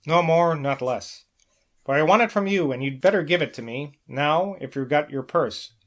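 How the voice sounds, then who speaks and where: A man's voice: fairly high pitch, expressive, normal speed, lightly acted. Guy reading broke young rogue in a novel, sly, pushy, kinda conspiratorial. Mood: blunt, insistent. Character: roguish, penniless young man, sly romantic sponger.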